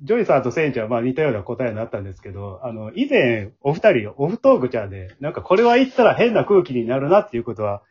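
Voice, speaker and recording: A man with a husky voice, hosting a casual round-table chat. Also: medium pitch, very animated, racing, hardly any pauses, a little mellow. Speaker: host and drama group director. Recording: dull lo-fi audio.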